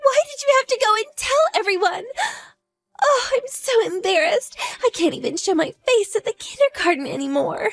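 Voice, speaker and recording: Woman doing a shrill, frantic young mistress. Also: measured pace, soft background music. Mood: tearful. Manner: high, shrieking, near tears. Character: shrill frantic young mother, husband's lover. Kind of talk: audio drama acting.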